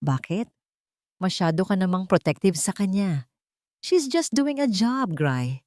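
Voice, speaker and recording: A woman's voice: medium pitch, lively intonation, a little slow, darkish tone, no room sound, close to an everyday voice. A woman narrator puts on a haughty young socialite fiancée for a romance audio drama. She is sugary and condescending with a jealous edge, recorded dry and close. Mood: jealous, annoyed. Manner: sweetly condescending.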